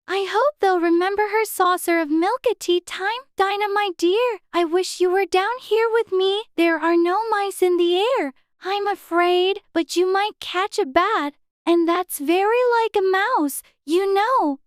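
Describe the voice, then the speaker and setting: A woman's voice: very high voice, measured pace, hardly any pauses, no room sound, clean studio sound. A woman playing a curious, chatty little girl who muses aloud in an earnest, prim way for an audiobook. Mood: dreamy, earnest. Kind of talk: dramatized audiobook character acting.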